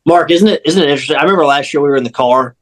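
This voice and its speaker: A man's voice: on the high side, racing. A man chatting casually and unscripted as a panelist on a sports radio show.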